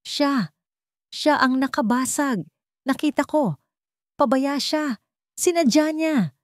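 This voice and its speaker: Woman acting a shrill, melodramatic scheming young woman. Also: slow, no room sound, clean studio sound. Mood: shrill accusing. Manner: melodramatic, accusing. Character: scheming young woman, shrieking fake tearful accusations.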